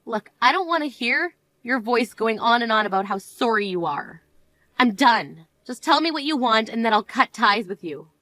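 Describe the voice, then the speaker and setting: A woman voicing a composed young bride in an animated story, speaking in a firm, cold, measured manner. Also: a little high, no room sound, rough recording.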